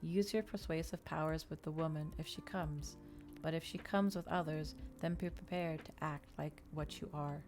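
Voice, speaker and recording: Woman voicing a mellow, domineering male vampire. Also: low voice, steady tone, moderate pace, soft background music, lightly acted. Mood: cold disdain. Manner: scornful, commanding.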